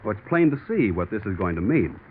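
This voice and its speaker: A man's voice: fairly low pitch, big pitch swings, fast talker. A man delivering polished, emphatic sponsor announcements as a 1940s radio announcer. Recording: tinny, hissy 1940s radio broadcast.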